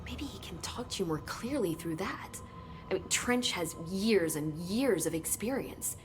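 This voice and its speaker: An actress playing an earnest woman researcher at a secret agency, speaking softly and thoughtfully in game cutscenes. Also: medium pitch, lively intonation, unhurried, over music, natural voice. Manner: soft, hesitant, thoughtful with sighs. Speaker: game character. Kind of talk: video game cutscene dialogue.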